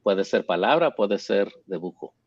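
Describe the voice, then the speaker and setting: Slow low-voiced guy on a panel, keeps dropping english fillers, dull dry sound. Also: lively intonation, vocal fry, a little mellow, dry recording. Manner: halting, mixes English fillers into Spanish. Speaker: illustrator panelist. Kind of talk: casual panel chat.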